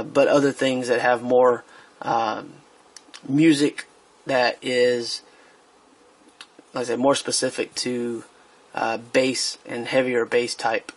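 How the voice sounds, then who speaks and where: A man giving a casual product review while he handles a speaker on a tabletop, hesitant, with many fillers and restarts. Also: mid-range voice.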